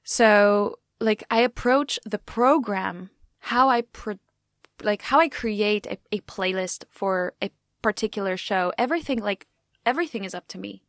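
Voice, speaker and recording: A woman's voice: mid-range voice, a little slow, fairly bright tone, dry recording, studio quality. A young woman, telling her story in a chatty way with lots of "like" and restarts. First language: Polish. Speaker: radio show host. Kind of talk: personal storytelling vlog.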